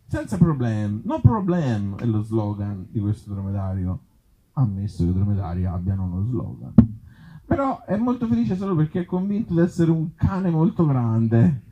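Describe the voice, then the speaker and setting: A man from Italy reading absurd short comic stories aloud to a live gathering, with deadpan humour and offhand, hesitant asides. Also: medium pitch, husky, poor audio. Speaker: author and actor reading his comic tales at a live event.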